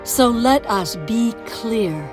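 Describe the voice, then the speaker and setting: A woman reading an emphatic, scripted narration that builds to louder declarations. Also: a little high, lively intonation, extremely slow. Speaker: narrator of a promo video. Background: music.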